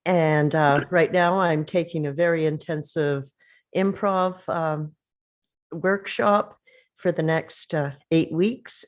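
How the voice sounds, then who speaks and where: An older woman, an actress introducing herself casually. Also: low-pitched. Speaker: actress, table read participant.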